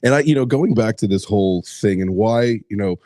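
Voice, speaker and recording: A hoarse man talks in a low, mellow voice, circling back on himself with filler words and curses. The sound is dry, close and unadorned. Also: fairly quick, dry recording. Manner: rambling, profane, lots of fillers and restarts. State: hoarse from screaming. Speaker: host. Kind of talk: casual podcast chat.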